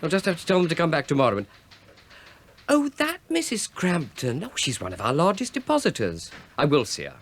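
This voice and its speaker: An actor playing a flustered, pompous British deputy bank manager in a radio play, fussy and obsequious. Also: male voice, fairly high pitch, wildly swooping pitch, a little fast. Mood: harried, grumbling. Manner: fussy, obsequious to rich customers.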